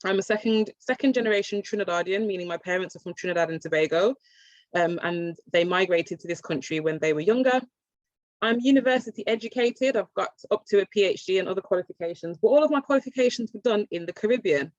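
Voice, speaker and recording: A woman's voice: medium pitch, quick pace, dry recording. A British woman giving an informal, chatty online workshop presentation, with many fillers and restarts. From England. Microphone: video call. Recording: muffled dry video call audio.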